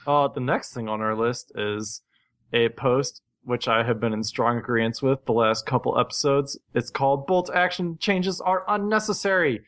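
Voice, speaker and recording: A man's voice: mid-range voice, normal speed, a bit bright. A man co-hosting a casual gaming podcast, talking in an opinionated, ranting way. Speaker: podcast co-host.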